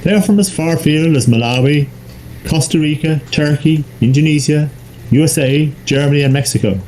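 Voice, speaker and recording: A man from Ireland, hosting and reading a scripted welcome in a measured way. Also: a little high, warm tone. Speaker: host. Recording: noisy, somewhat distant recording.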